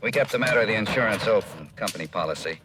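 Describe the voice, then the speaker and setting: An actor playing a harried, folksy American insurance manager in a 1950s radio drama, talking in a conversational way. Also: male voice, lowish voice, lively intonation, very fast, natural voice. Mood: explaining. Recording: noisy, clicking vintage radio recording.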